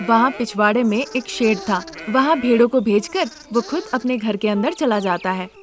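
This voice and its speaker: A woman's voice: fairly high pitch, moderate pace. A woman storyteller reading a scripted family moral tale as narrator.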